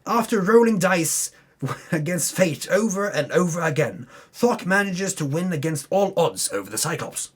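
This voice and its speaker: A man's voice: sits high, animated, normal speed, husky. A man giving a dramatic reading of a tabletop game recap, theatrical in his telling, with wry asides. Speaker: reader of a tabletop campaign recap. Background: music under the voice.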